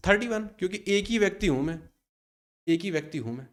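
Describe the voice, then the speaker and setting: Man in his thirties, maths teacher, Hindi with English terms, fairly high voice, explains and answers his own questions. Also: lively intonation, fairly quick. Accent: Indian Hindi with English terms mixed in. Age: 30s. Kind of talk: exam-prep maths lesson.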